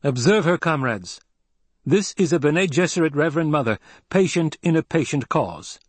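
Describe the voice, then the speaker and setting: A man voicing a defiant, coldly angry young heir in a forceful, imperious, declamatory way. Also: medium pitch, expressive, normal speed, no room sound, voice pushed up, lightly acted. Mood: commanding fury.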